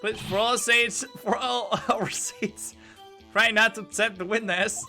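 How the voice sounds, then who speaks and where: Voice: medium pitch, steady tone, measured pace, pushed and bright, faint music behind, pitched above the performer's own voice. A game streamer voicing a cranky, fussy old woman security guard from a video game, scolding indignantly.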